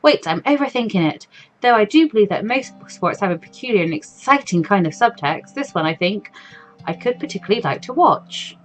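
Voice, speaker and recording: A woman hosts a let's play, reading game text aloud. Her pitch is middling and moves around a lot. She slips between expressive narration and casual asides, with faint music behind her. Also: a little fast, rough recording.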